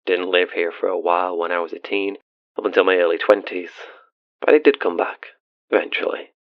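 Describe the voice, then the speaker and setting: A haunted man, played by an actor, confides in a radio host with a very deep voice. He is hesitant, earnest and self-deprecating, in a horror audio drama. The sound is close and dry but slightly dulled. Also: level tone, brisk, dry recording, dull audio, natural voice. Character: haunted, awkward, confessional British-born man phoning a radio show. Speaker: caller.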